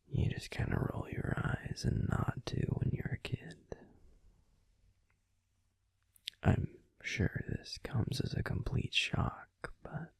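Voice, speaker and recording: A man playing a grumpy but tender werewolf boyfriend in an intimate sleep-aid audio roleplay, confiding casually in a breathy, husky, unhurried whisper, close to the microphone. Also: lowish voice, darkish tone, no echo, heavily acted. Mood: soft, reflective. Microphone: close microphone, dry.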